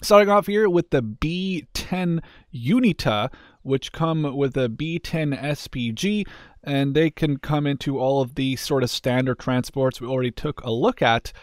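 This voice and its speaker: A man's voice: mid-range voice, a little slow, no echo, clean studio sound. A man giving casual, off-the-cuff video game commentary in a candid, conversational way, thinking aloud as he goes. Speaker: gaming video creator. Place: indoors, voice-over on game footage.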